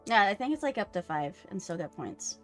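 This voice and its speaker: A woman chats on a gaming live stream with faint music behind her. Her pitch sits in the middle for a woman. She talks casually and likes to tease in a playful way. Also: sing-song, a little fast. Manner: playful, teasing.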